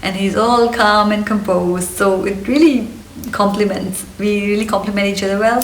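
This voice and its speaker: A woman in her thirties from India with an Indian accent and Hindi as her first language, talking in a chirpy, energetic and earnest way for a personal vlog. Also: medium pitch, a little hiss or hum. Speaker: vlogger. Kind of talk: personal get-to-know-me vlog. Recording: roomy, slightly distant mic.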